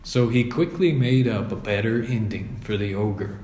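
A man's voice: lowish voice, moderate pace, a little mellow, slight background noise. A man reading a children's story aloud as scripted narration. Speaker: storybook reader. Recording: roomy echo.

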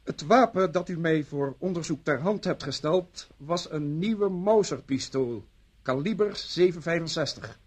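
An actor playing a pedantic ballistics expert in a radio play, formal, precise and stilted. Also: male voice, fairly high pitch, measured pace, slightly rough.

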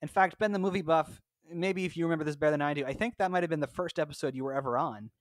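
A man's voice: on the high side, very fast, no echo. Male podcast host, dry close sound. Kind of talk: conversational podcast hosting. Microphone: close personal mic, remote recording.

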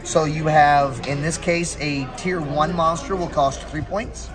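A middle-aged man with a fairly high voice explains a game in a casual pitch. He is recorded across a table in a busy indoor space, with music clearly audible. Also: fairly even intonation, measured pace. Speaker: card game creator giving a demo. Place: busy indoor convention hall.

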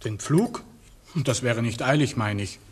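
A man's voice: mid-range voice, lively intonation, measured pace, close to an everyday voice. Echoey old radio play, man doing stolid grumbly farmhand, bit of noise and clinking dishes. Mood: puzzled. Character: stolid, grumbling farmhand who likes his food. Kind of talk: 1960s German-language radio play acting. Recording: reverberant, faintly noisy old radio recording.

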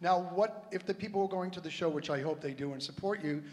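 A man's voice: on the high side, fast talker, darkish tone. A middle-aged man hosting a live show, joking and rambling with his guest on a theater stage into a handheld mic. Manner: joking, rambling, self-deprecating. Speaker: show host. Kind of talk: live variety show banter. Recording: stage voice in a reverberant hall.

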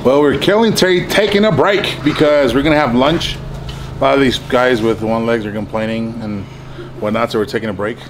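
A man's voice: medium pitch, moderate pace. A young man bantering outdoors, joking and talking cocky trash to his friends. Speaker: participant and veteran friend. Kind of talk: casual banter. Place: outdoors on a mountain course. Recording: outdoor camera audio with loud background noise.

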